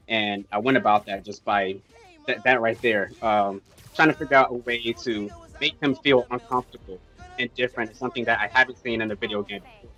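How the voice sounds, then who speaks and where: Low-voiced dev guy explaining his design, kinda halting, faint music behind. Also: a bit bright, highs cut off. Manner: thoughtful, restarts and trails off while explaining. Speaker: game developer. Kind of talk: interview answers.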